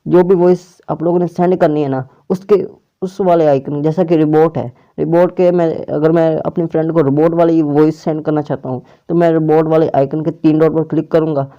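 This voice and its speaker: A man's voice: a little high, fairly even intonation, normal speed, warm tone. A man presenting a casual step-by-step app tutorial, often repeating and rephrasing his instructions. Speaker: tutorial host. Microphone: clip-on or plugged-in microphone. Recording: dull, muffled lo-fi microphone.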